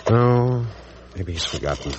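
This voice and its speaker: An American actor playing an earnest, stubborn, troubled physician husband in a 1940s radio drama. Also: male voice, lowish voice, expressive, a little slow, noisy background. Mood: insistent. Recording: old 1940s radio recording.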